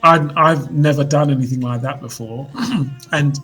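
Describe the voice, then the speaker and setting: A man in his fifties, a musician guest, reflecting on his life in relaxed, hesitant interview talk. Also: mid-range voice, normal speed, slightly rough, rough recording. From United Kingdom. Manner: reflective storyteller, hesitant restarts. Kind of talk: casual interview chat.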